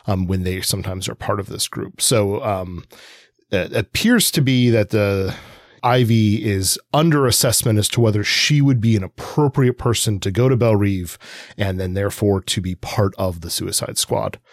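Lower-pitched man, halting podcast co-host. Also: dry recording, studio quality. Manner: halting, explanatory, pieces sentences together as he goes. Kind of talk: conversational podcast discussion.

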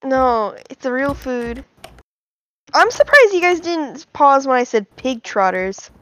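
A woman from Ireland, chatting casually on a podcast, halting with frequent ums. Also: high-pitched, sing-song, a bit bright. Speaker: podcast co-host. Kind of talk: casual chat. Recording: dull lo-fi mic.

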